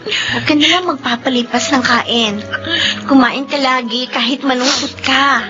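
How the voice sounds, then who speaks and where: A woman acting a caring, protective friend in a Filipino radio drama, coaxing gently and mixing English with Tagalog. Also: sits high, fairly even intonation, normal speed, lightly acted. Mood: tender, reassuring. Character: caring, motherly, protective young female friend. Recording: dull lo-fi broadcast, music underneath.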